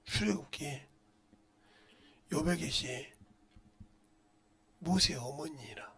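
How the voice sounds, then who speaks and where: A middle-aged man preaching as a pastor in a blunt, scolding manner, firing rhetorical questions and swinging between muttered asides and loud outbursts. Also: drawn-out words, vocal fry. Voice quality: loud, booming voice. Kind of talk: impassioned Korean-language sermon with rhetorical questions.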